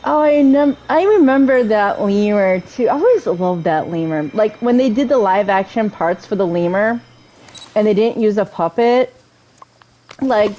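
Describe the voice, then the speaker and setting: A woman giving casual, chatty gameplay commentary, excitable and often interrupting herself. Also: medium pitch, low-quality recording. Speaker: video game streamer playing through a story quest.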